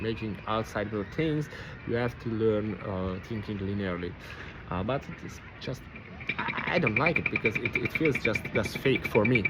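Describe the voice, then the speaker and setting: A man with a Hungarian accent and a lowish voice muses aloud in a rambling, reflective monologue, stopping and restarting as he hunts for words. The recording carries a clear wash of background noise, with vehicles passing now and then. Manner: halting, searching for words, many restarts. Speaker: vlogger.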